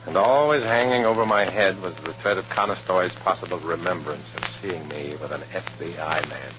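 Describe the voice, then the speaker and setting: An actor playing a guarded, sober undercover agent, narrating and acting in an old radio drama. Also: male voice, sits low, normal speed, natural voice. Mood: tense, brooding. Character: guarded, tense male undercover agent posing as loyal communist. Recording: dull old radio recording, music behind.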